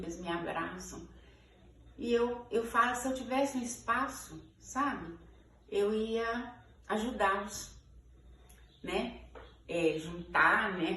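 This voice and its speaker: A woman of sixty-five with a Minas Gerais Brazilian accent, answering informal interview questions conversationally, often checking in with little tag words. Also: on the low side. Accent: Brazilian Portuguese, Minas Gerais. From Ferros, Minas Gerais, Brazil. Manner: conversational, frequent checking tags like "né" and "sabe". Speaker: interviewee, teacher. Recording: echoey room, distant mic, background noise.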